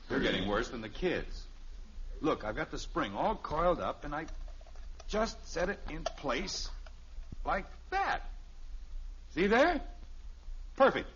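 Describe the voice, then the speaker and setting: A man's voice: a little high, takes it slowly, long pauses, full character voice. An actor playing an exasperated, sarcastic middle-aged American father in a 1950s radio sitcom. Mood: proud, teasing. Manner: sarcastic, animated, blustering. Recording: dull, noisy vintage radio recording.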